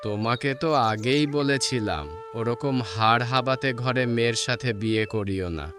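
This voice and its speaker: A man's voice: medium pitch, normal speed, lightly acted. A man playing a grumbling, reproachful village father-in-law in a cartoon, with music playing under his voice. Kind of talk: Bengali cartoon fairy-tale voice acting.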